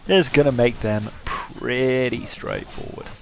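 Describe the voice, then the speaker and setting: A man with a creaky, middle-pitched voice commentates casually over a game. His delivery is wry and self-mocking. Faint game noise sits behind him. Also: slow pace. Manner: wry, self-deprecating humour. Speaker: gaming video host.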